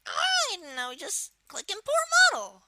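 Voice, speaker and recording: Someone putting on the voice of a young cartoon turtle villain, exaggerated and swooping, while presenting a software tutorial. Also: very high voice, wildly swooping pitch, moderate pace, heavy background noise, exaggerated voice. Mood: instructive. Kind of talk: software modding tutorial, improvised walkthrough.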